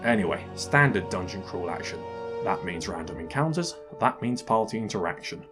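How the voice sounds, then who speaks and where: Guy doing a wry scripted game review, low voice, dry humour, music going underneath. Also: lively intonation, moderate pace. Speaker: video game reviewer, channel host. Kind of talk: scripted comedic review narration.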